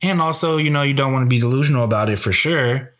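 A young man speaks in a gentle, musing way on a podcast. His tone stays encouraging as he thinks out loud. His voice sits at a middle pitch. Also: quick pace. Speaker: podcast co-host. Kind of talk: casual conversation about astrology.

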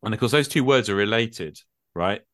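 Low-voiced middle-aged man explaining things conversationally. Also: lively intonation, normal speed, fairly bright tone, no echo, studio quality. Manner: explanatory, checks in with tag questions. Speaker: podcast host and game master. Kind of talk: extended casual discussion of tabletop gaming.

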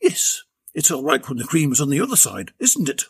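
A man's voice: on the high side, sing-song, moderate pace, breathy edge, full character voice. A man voicing a cheeky, smirking milkman in a storybook reading. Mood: leering. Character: cheeky, leering young milkman.

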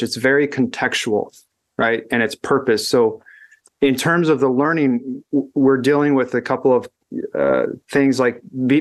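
A man as guest in a casual conversation, thinking aloud and often restarting his sentences. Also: mid-range voice. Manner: thoughtful, halting with frequent restarts. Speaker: guest educator.

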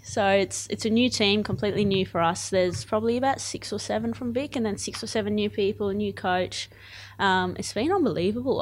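A woman's voice: mid-range voice, a little hiss or hum. A woman in her twenties with an Australian accent, chatting casually and enthusiastically as an athlete being interviewed. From born in Zimbabwe, moved to Australia aged eight. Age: 20s. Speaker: cricket player.

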